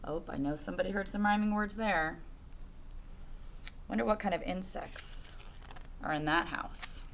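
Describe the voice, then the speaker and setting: A woman's voice: on the low side, normal speed, fairly bright tone, a little hiss or hum. A woman reading a picture book aloud to children like a teacher, phrasing expressively and putting questions to her young listeners.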